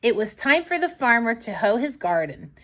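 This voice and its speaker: A woman reading a story aloud to young children in an expressive, dramatic storybook manner, with asides to her listeners. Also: fairly high pitch, normal speed.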